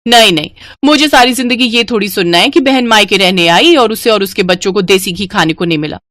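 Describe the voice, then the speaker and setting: A woman voicing a sharp-tongued, sarcastic sister-in-law in a cartoon. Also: medium pitch, brisk, no echo, studio quality, lightly acted. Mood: scolding. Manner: taunting, bossy.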